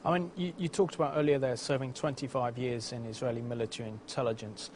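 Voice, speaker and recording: A man's voice: mid-range voice, fairly even intonation, fast talker, a little mellow, slight background noise. A man hosting an interview, asking formal, polite questions with hesitant restarts. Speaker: interview host.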